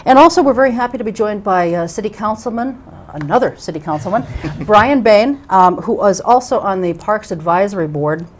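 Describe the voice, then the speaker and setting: A woman's voice: medium pitch, animated, a little fast, few pauses, a little hiss or hum. A middle-aged woman hosting a local cable talk show from a small studio, introducing guests with many ums and restarts. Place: small TV studio with curtain backdrop. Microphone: lapel mic.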